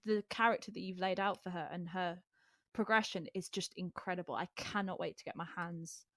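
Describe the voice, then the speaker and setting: A woman's voice: on the low side, fairly quick, no echo. A woman who works as a voice actor, talking casually and with gushing enthusiasm about an audio drama. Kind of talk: casual behind-the-scenes chat.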